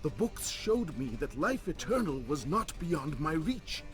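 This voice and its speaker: Slow, theatrical scheming vizier. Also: male voice, on the high side, sing-song, faint music behind. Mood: grandiose, brooding. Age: older. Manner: theatrical, menacing, deliberate. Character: scheming, power-hungry older male royal vizier. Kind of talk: dramatic villain monologue.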